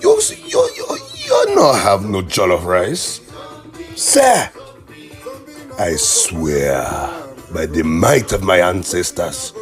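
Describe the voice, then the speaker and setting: Shrill, loud, raging avenger vowing ancestral revenge; very high, shouted, theatrical. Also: male voice, huge pitch swings, slow pace, soft background music, full character voice. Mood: furious.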